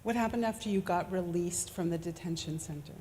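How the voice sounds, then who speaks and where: A woman interviews a guest, gently prompting him with questions. Her voice is fairly low for a woman. Long reverb from a large sanctuary surrounds it. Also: brisk. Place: synagogue sanctuary. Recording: echoey sanctuary with faint noise.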